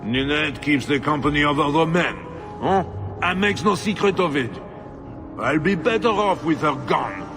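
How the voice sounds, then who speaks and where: A man's voice: on the high side, animated, normal speed, over music, lightly acted. A man playing a bitter, self-pitying nobleman husband in a video game, defensive and world-weary, sighing as he talks. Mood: bitter, dismissive. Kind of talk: dramatic video game dialogue.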